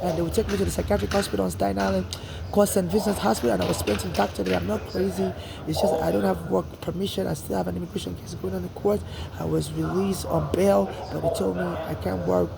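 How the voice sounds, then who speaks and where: Gravelly high-voiced Nigerian man. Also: fairly even intonation, fast, heavy background noise. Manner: rambling, frequent restarts and "you know". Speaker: interviewee. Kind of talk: street interview, personal account.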